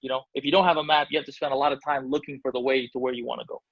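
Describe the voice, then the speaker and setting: A man's voice: medium pitch, fairly even intonation, racing, a bit bright, breathy edge, no room sound, low-quality recording. A man from Albania, a basketball agent, answering interview questions casually with many fillers and restarts.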